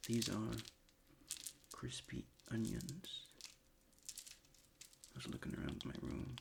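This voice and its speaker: A man who is not a native English speaker, chatting softly in his bedroom for ASMR, rambling and often losing his thread. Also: medium pitch, takes it slowly. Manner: rambling, scatterbrained, often restarting; mostly whispered, soft and unvoiced. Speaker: ASMR creator. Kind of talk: soft-spoken ASMR chat. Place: bedroom at a desk. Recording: home recording with clear background noise. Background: crinkling and crumpling of objects.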